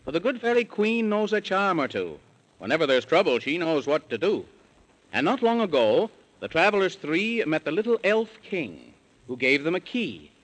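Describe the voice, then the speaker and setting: A man's voice: fairly high pitch, sing-song, normal speed, rough recording. A man narrating a 1930s radio children's serial in rhyme, with a theatrical sing-song storytelling delivery.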